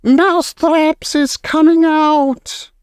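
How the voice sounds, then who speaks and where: A gloating old witch queen, put on by a man reading aloud, barks orders in broken, clipped grammar. Her voice climbs high and swings wildly as she slowly savors every cruel detail. The sound is dry and close. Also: big pitch swings, no room sound. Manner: broken grammar, shouting triumphantly. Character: gloating old female witch leader, screeching.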